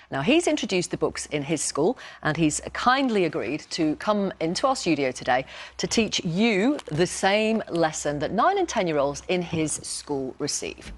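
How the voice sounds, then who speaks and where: A woman presenting live current affairs in a studio, in a measured, clearly signposted delivery. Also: low voice. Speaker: television presenter. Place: television studio.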